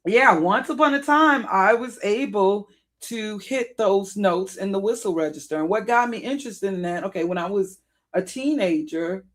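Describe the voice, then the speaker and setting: A woman in her fifties with a deep contralto voice that sounds worn and raspy, telling personal stories to camera in a rambling, digressive way. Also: moderate pace. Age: 50s. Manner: rambling and digressive, with frequent self-corrections. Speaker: vlogger. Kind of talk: casual personal storytelling to camera.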